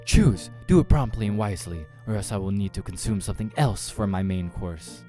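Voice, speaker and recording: A man's voice: on the low side, lively intonation, a little fast, mellow, faint music behind, close to an everyday voice. A man voicing a menacing, predatory female eldritch creature from a visual novel, with commanding, formal, alien phrasing. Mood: imperious menace. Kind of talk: acted visual novel dialogue.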